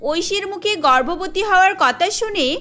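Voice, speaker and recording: Female cartoon storyteller, very high pitch, scripted narration over soft music. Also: expressive, measured pace.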